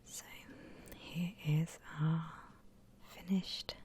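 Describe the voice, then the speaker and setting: A woman softly whispering an ASMR drawing tutorial in a breathy, gentle, unhurried way, like an instructor. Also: deep voice, steady tone. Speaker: ASMR art channel host.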